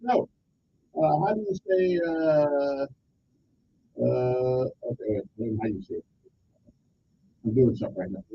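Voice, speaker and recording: A man's voice: medium pitch, a little slow, long pauses, lo-fi sound, a little hiss or hum. A man hosting a radio talk show with a Southern US accent, rambling and joking, often tagging his points with "okay?". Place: radio studio.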